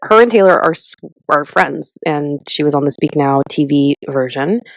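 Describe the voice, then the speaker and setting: Low-voiced woman in her 30s, chatty podcast host, dry close sound. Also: normal speed, dry recording, rough recording. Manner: chatty and animated, says "literally" and "like" often. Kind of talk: casual chat.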